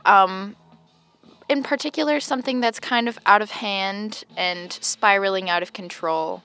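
A woman giving a thoughtful, unhurried answer to a poll question. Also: medium pitch, pushed and bright. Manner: thoughtful, sighing. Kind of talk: vox-pop answer.

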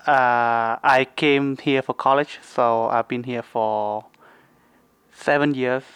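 Young man, vietnamese native speaker, smooth clear voice, dry sound, careful english. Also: medium pitch, fairly even intonation, takes it slowly, a bit bright, dry recording. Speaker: interviewee. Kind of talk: casual interview answers.

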